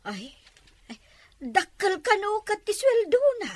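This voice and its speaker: A woman's voice: very high-pitched, very animated, unhurried, no echo, exaggerated voice. A woman with an Ilocano accent playing a curious, tut-tutting neighbor in a comic drama. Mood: gossipy.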